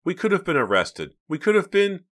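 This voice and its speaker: A man's voice: lowish voice, sing-song, fairly quick, a bit bright, pitched above the performer's own voice. A man voicing an anxious, earnest teenage girl in a young adult audiobook. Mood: dismayed. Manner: urgent, pleading.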